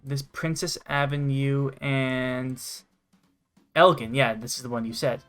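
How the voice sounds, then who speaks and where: A man's voice: mid-range voice, steady tone, measured pace, a bit bright, smooth, faint music behind. A man from Canada hosting a gaming show with casual, sweary gameplay commentary. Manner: swears freely.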